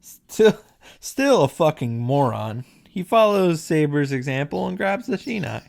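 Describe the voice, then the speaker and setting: A man's voice: a little high, very animated, measured pace, gravelly, darkish tone. A man giving blunt, mocking, sweary reaction commentary on a visual novel. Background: game music.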